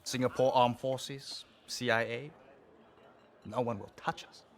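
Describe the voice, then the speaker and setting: An actor playing a swaggering, foul-mouthed gang boss and arms dealer in a video game cutscene. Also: male voice, mid-range voice, steady tone, a little slow, natural voice. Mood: cocky, amused.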